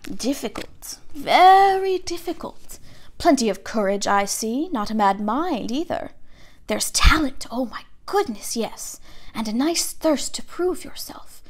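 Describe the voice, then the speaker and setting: A woman voicing an old magical talking hat that murmurs slowly and thoughtfully. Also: high-pitched, very animated, exaggerated voice. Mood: murmuring. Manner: hushed, murmuring, slow and thoughtful.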